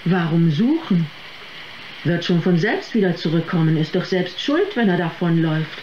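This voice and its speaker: A man's voice: on the high side, lively intonation, moderate pace, darkish tone, lightly acted. An actor playing a surly, dismissive male tavern customer in a radio play, grumbling and scornful. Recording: dull, roomy sound with ambient noise.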